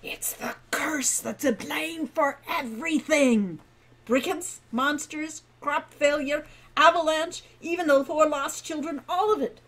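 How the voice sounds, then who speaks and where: A woman's voice: sits high, a little slow, a bit bright, voice pushed up. A woman voicing a peevish, rambling old male dwarf guildmaster who stammers as he talks. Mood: conspiratorial.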